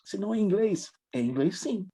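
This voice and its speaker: A man's voice: high voice, lively intonation, normal speed, dark tone, slightly rough. A middle-aged man, a guest English teacher thinking aloud in a reflective, hesitant way with many restarts. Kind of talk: conversational podcast interview.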